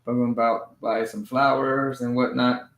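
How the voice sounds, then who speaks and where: A man hosting a casual family livestream from home, moving easily between languages with a relaxed, filler-heavy way of talking. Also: mid-range voice, moderate pace. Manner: switches between languages mid-sentence. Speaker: livestream host and father. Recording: echoey room sound.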